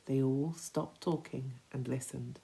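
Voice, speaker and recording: A woman reading a children's story aloud with expressive, dramatic emphasis. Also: a bass voice, a little slow, vocal fry, a little hiss or hum.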